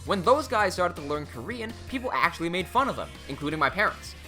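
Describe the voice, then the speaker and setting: A man's voice: high voice, very animated, fast, bright, forceful tone, faint music behind. A young man whose first languages are English and Cantonese, talking candidly and self-mockingly in a casual vlog, recorded in a small room. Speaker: language-learning YouTuber.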